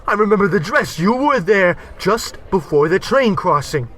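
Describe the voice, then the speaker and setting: A man's voice: high voice, lively intonation, moderate pace, fairly bright tone. A man playing a slangy, wisecracking working-class barfly in an old-time comedy radio play. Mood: puzzled. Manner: slangy tough-guy patter. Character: wisecracking 1940s-style working-class barfly, excitable, easily panicked.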